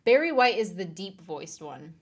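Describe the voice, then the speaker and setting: A woman's voice: lowish voice, very animated, normal speed. A woman streamer chatting casually on a live stream, reading viewer comments aloud and laughing along.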